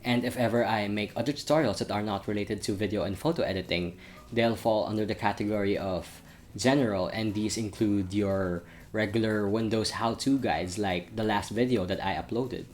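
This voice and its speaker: A man's voice: fairly low pitch, fairly even intonation, moderate pace, faint music behind. A young man who grew up in Saudi Arabia, with an international school accent, giving his viewers an earnest, slightly wordy channel update. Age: young adult. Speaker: channel host. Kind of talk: vlog update talking to camera.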